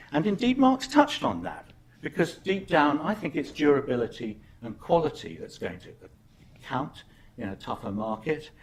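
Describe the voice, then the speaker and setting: Man panelist, husky voice, firm, argumentative delivery. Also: mid-range voice. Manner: emphatic, argumentative, repeats key phrases. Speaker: panel speaker. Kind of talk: conference presentation.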